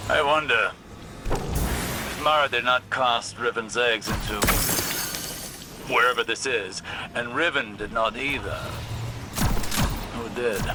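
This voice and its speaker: An actor playing a cool, cerebral commander in a video game, formal and measured, cryptic and skeptical. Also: male voice, medium pitch, heavy background noise, lightly acted. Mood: aloof, skeptical. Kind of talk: video game mission dialogue.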